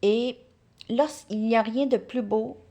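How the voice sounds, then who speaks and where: A woman giving a casual tarot card reading. She thinks aloud, repeats words and drops into soft asides. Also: on the high side, slow.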